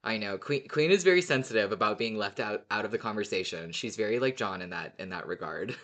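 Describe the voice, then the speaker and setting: A podcast co-host of unclear gender, chatting casually as a fan in a giggly, excitable way. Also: mid-range voice, fast. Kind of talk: casual fan discussion of an audio drama.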